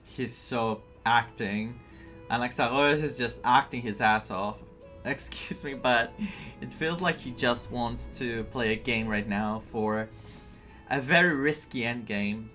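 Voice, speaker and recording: Casting: male streamer, unhurried, mid-pitched, casual reactive game commentary, halting and musing. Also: sharp tone, faint music behind. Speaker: gaming streamer.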